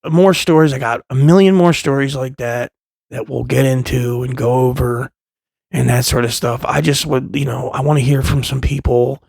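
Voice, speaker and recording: A man in his thirties talking in a casual, rambling monologue, profane and impassioned, with many restarts and a loud voice. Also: mid-range voice, gravelly, slightly rough, no echo. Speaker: vlogger, father.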